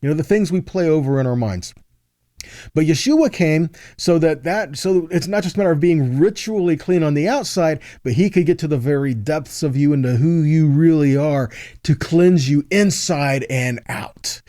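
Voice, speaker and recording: A man's voice: a little high, moderate pace, dark tone, dry recording, clean studio sound. A man giving a sermon-style Bible lesson, reading scripture aloud and explaining it, repeating key phrases for emphasis and checking in with short tag questions. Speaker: Bible teacher.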